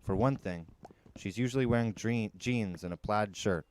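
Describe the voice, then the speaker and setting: Lower-pitched man reading a story aloud. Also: normal speed, a little mellow, a little hiss or hum. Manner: conversational first-person storytelling. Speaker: podcast host and storyteller. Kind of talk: audiobook-style narration of a young adult novel.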